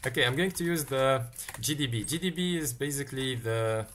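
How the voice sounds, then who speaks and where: A man's voice: mid-range voice, a little fast, a bit bright, slight background noise. A man leads a live-streamed coding lesson in a mid-range voice, thinking aloud as he goes. He stops to pick up viewers' questions from the chat. Faint keyboard typing can be heard behind him. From Tunisia. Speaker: livestream tech teacher.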